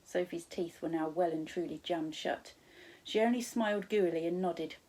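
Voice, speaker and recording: A woman's voice: on the low side, moderate pace, warm tone, poor audio. A woman with a British accent, a primary school teacher, narrating a children's storybook expressively.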